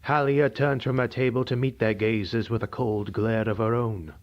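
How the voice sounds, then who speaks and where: A man narrating a dark fantasy audiobook in a measured, dramatic way. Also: mid-range voice, steady tone. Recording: dull lo-fi recording.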